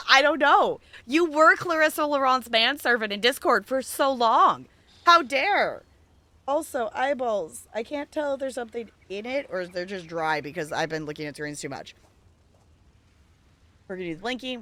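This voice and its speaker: A woman chatting casually and playfully while livestreaming a game, thinking out loud as she goes. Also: on the high side, expressive, normal speed. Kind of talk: casual stream chat while playing, reading game dialogue aloud.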